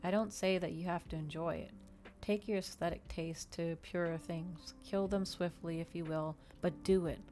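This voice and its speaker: A woman's voice: on the low side, steady tone, moderate pace, dark tone, close to an everyday voice. A woman voicing a cold, mocking, seductive male vampire who lectures and commands, in dramatic audiobook dialogue. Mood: impatient, commanding. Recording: noticeable background noise.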